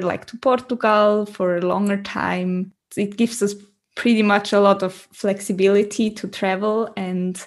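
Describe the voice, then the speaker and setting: Casual interview guest: woman, very unhurried, searching for words. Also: medium pitch. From Switzerland. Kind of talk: casual interview talk in a second language.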